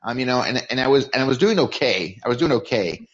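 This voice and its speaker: A man's voice: mid-range voice, expressive, fast talker, fairly bright tone, lo-fi sound. A man in his forties, from Mexico with a Californian accent, telling his story as a podcast guest, often repeating and restarting words. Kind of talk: casual interview storytelling.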